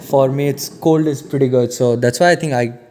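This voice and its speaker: A man's voice: medium pitch, fairly even intonation, brisk, darkish tone, slight background noise. A young man from western India, chatting casually on a student podcast and often saying "to be honest.". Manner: informal, repeats "to be honest". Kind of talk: casual chat.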